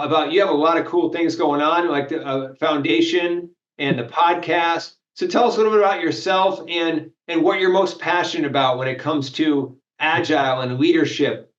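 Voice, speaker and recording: A man speaks as a podcast host in a conversational interview style. His voice sits a little higher than most men's. The recording is noticeably roomy, with echo around the words. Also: dull audio.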